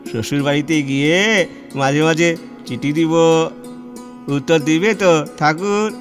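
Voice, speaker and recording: A man's voice: fairly high pitch, normal speed, soft background music, lightly acted. A man voicing an artless, affectionate young village girl in an audio play, coaxing and familiar in manner.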